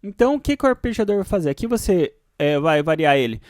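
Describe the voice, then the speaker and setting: A Brazilian man giving an informal, explanatory software tutorial, often checking that the listener follows. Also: on the high side, very animated, fast, no room sound, clean studio sound. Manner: explanatory, often checking understanding with tag questions. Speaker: music software instructor.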